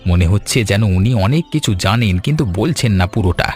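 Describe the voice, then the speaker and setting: A man voicing a friendly young food seller, earnest, polite and chatty, in an animated story. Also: lowish voice, normal speed, natural voice. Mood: eager, friendly. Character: eager young fish-fry seller, friendly village man.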